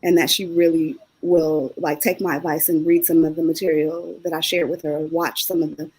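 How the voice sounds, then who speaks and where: A woman with a somewhat low, husky voice speaks heartfelt and personal on a panel. She talks as a panelist over a video call. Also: flat delivery, moderate pace, a little mellow, rough recording. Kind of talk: panel discussion.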